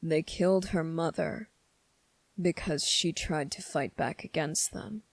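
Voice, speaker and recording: Someone acting as a slow creaky demon, condescending n menacing, asmr roleplay, super dry close sound. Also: medium pitch, dry recording. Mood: grave, subdued. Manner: slow, deliberate, condescending, pausing often. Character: sardonic, menacing demon weighing a summoner's revenge plea. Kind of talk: dramatic ASMR roleplay monologue.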